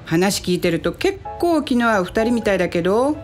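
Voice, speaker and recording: A woman's voice: mid-range voice, sing-song, brisk, a little mellow, soft background music, close to an everyday voice. A woman playing a curious, worldly female company president in a radio drama, composed and inquisitive.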